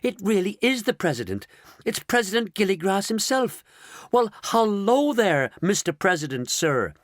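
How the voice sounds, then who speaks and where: An excitable astronaut with a high, cheeky voice that leaps around, played by a man reading a story. He talks fast and mouthy, a brash storybook space pilot. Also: no echo, clean studio sound. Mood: excited. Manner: excited, cocky, high-pitched. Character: excitable male astronaut, adult.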